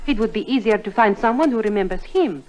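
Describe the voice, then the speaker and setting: A woman's voice: mid-range voice, expressive, fast, a little mellow, close to an everyday voice, poor audio. A woman playing an earnest, proper young Mexican woman in a radio drama, speaking careful formal English with a Spanish accent. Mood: worried. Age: young adult. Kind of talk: 1950s radio drama acting.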